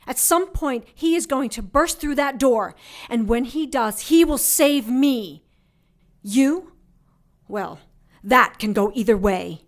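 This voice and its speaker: A woman playing a defiant, sharp-tongued heroine in an audio drama, sarcastic and deliberate in manner. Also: on the high side, animated. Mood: wry, bargaining. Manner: sarcastic, deliberate, bargaining coolly.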